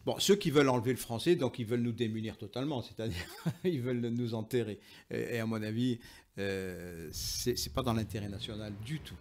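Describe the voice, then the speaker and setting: A man with a noticeably creaky voice at a middling pitch. He talks as an invited expert in an interview, explaining his position emphatically and rephrasing as he goes. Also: brisk, a little mellow. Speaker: interview guest, linguist and author. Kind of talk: explanatory interview talk, argumentative.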